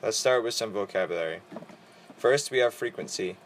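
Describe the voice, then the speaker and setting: A teenage boy reading vocabulary and equations aloud for a school presentation, in a steady, orderly way. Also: fairly low pitch, normal speed, slight background noise. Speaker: student presenter.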